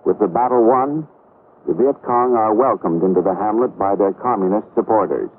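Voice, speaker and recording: An American man reading a scripted 1960s military training film narration in a formal, authoritative, measured way. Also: fairly low pitch, faint music behind. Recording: muffled, noisy vintage film soundtrack.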